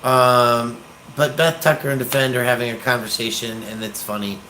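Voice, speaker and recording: An American man rambling casually on a livestream, hesitant and pausing often as he talks. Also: mid-range voice, fairly even intonation, bright, forceful tone, a little hiss or hum, rough recording. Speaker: livestream host, activist.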